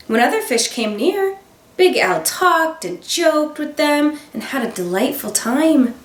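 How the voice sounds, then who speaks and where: A woman teacher reading a children's picture book aloud, expressive, with gasps and dramatic emphasis. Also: high-pitched. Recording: roomy sound, voice a bit far from the mic.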